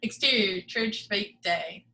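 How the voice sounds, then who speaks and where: A woman's voice: medium pitch, slow, creaky, sharp tone. Woman reading stage directions aloud. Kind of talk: reading action description. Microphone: video call.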